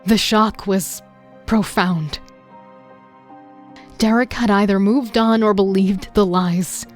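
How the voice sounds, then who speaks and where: A woman giving steady first-person narration for an audio drama. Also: mid-range voice, takes it slowly, faint music behind. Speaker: narrator and lead voice actor.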